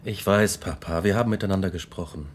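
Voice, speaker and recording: An actor playing an earnest, ambitious young student in a radio drama, speaking in clearly phrased dialogue and narration. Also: male voice, low-pitched, fast talker, slight background noise, natural voice. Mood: earnest, affectionate. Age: young adult. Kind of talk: radio drama acting, with first-person narration.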